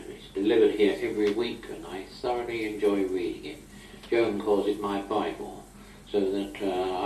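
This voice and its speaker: A man's voice: fairly low pitch, darkish tone. Distant, echoey British man on old tape. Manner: chatty, wry, old-fashioned turns of phrase. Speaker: amateur correspondent on a taped letter. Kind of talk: informal spoken letter to a friend. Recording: old amateur tape, roomy, distant, noisy.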